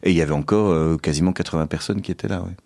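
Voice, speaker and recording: A man in his forties who grew up in Belgium, a finance entrepreneur guesting on a radio interview. He talks in a measured way and pauses often to hunt for words. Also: low-pitched, vocal fry, darkish tone, dry recording, studio quality. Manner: measured, hesitant, frequent filler pauses.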